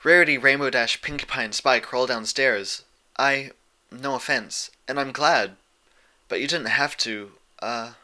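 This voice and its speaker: A man voicing a studious, anxious young female pony scholar, earnest and halting in manner. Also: mid-range voice, sharp tone. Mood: awkward.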